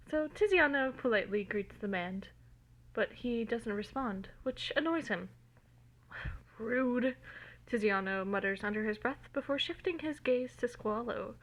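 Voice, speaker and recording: A woman's voice: a little high, fairly bright tone, poor audio. A woman giving an informal dramatic reading of a story, stumbling over words now and then, recorded on a phone. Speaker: amateur reader of fan fiction.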